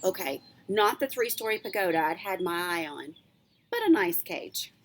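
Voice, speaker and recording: A woman, a teacher reading a children's book aloud in an expressive, animated storytelling way. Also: fairly low pitch, moderate pace.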